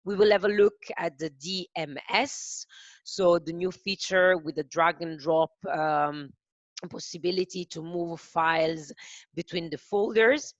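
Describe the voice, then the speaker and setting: A woman with an Italian accent hosting a software demo webinar, speaking carefully and haltingly with frequent fillers. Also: on the low side, dry recording, lo-fi sound. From Italy. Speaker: webinar host.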